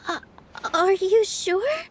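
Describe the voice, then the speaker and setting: An actress playing a gentle, modest young woman with a polite, apologetic manner in a video game. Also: very high voice, animated, takes it slowly, heavy background noise, full character voice.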